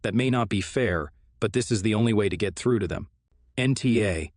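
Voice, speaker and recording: A man with a fairly low voice reads forum stories aloud, steady and even in tone. The recording is dry, with no room sound at all. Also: fairly quick, dry recording. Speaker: narrator. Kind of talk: reading online forum posts aloud.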